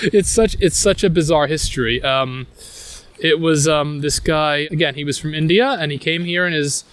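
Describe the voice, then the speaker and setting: A man's voice: fairly high pitch, fairly bright tone. A man with a New York accent giving a casual walking tour outdoors, rambling with fillers and restarts. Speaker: tour guide. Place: outdoors in a city park.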